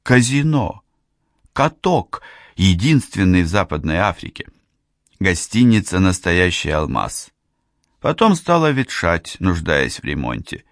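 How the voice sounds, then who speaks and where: A man's voice: low-pitched, big pitch swings, measured pace, no echo, clean studio sound. A man reading an audiobook voices a friendly middle-aged female hairdresser from Ghana, talking in a chatty, confiding way. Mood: hopeful. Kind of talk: audiobook character voice.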